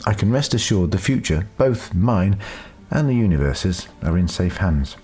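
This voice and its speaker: A man's voice: low-pitched, animated, normal speed, darkish tone. A man voicing a wry, world-weary retired alien time traveller in a reflective audiobook story. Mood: reassuring.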